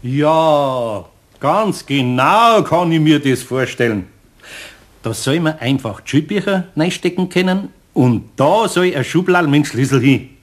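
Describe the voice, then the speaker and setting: A man's voice: on the high side, animated, unhurried. A man playing a kindly but grumbling older master carpenter with a Bavarian accent in a children's radio play, speaking in a homely, patient way.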